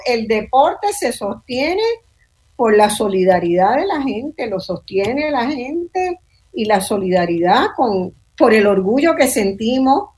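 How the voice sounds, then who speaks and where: Older woman, Puerto Rican accent, fairly high voice, reflective panel host. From Puerto Rico. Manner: thoughtful, reflective, tags sentences with "¿verdad?". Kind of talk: panel discussion.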